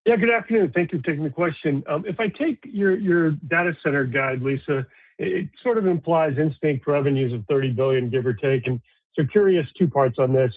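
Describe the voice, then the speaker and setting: A man's voice: on the high side, fairly quick, no room sound. Male analyst on a dry phone line. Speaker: financial analyst. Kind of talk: putting questions on an earnings call. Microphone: phone call.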